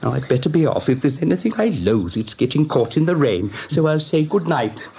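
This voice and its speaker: Swooping, genteel, sly rabbit. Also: male voice, on the high side, sing-song, a little fast, a little mellow. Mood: affable, evasive. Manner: affected, over-polite, languid, theatrical. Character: urbane, genteel, slyly welcoming male rabbit. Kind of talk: 1980s radio drama acting. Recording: dull, faintly noisy old radio tape.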